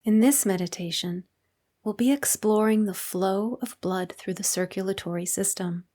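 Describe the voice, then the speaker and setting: Calm woman guiding a meditation. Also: medium pitch. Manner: calm, unhurried, soothing delivery with long pauses. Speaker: guided meditation teacher. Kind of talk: guided meditation narration.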